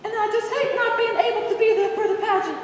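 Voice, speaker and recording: A woman's voice: extremely high pitch, steady tone, fast. A woman in an amateur skit voicing a condescending, self-important older church lady. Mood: smug. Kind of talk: amateur readers theater skit. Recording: echoey hall from far off, noisy.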